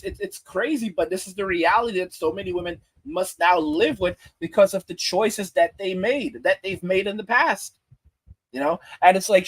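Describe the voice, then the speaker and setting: A man's voice: sits high, fairly bright tone, slightly rough, no echo, rough recording. A man in his forties ranting in commentary over a clip, stammering and repeating himself with mocking asides. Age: 40s.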